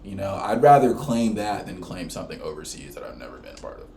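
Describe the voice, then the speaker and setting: A man's voice: deep voice, sing-song, quick pace, dark tone, echoey room, a little hiss or hum. A young man from Florida co-hosting a casual soccer podcast, laid-back and slangy, saying "bro" a lot. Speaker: podcast co-host. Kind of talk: casual sports chat.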